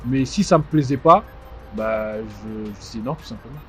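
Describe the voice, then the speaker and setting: A man in his twenties who grew up in Strasbourg, giving conversational interview answers with frequent mid-phrase pauses. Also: medium pitch, fairly bright tone. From born in DR Congo, grew up in Strasbourg, France. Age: 20s. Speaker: interview guest, fashion house founder. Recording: dull muffled sound with music behind. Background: music.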